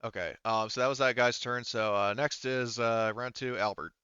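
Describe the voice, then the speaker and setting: A man's voice: mid-range voice, fairly even intonation, moderate pace, sharp tone, clean tone, no room sound, muffled recording. A man casually refereeing a tabletop game as game master, thinking aloud in an informal way.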